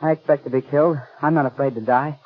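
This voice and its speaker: An actor playing a frightened murder witness with frayed nerves, overwrought and halting, in 1930s radio style. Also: male voice, fairly high pitch, fairly even intonation, close to an everyday voice. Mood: fearful. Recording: muffled old 1930s radio recording.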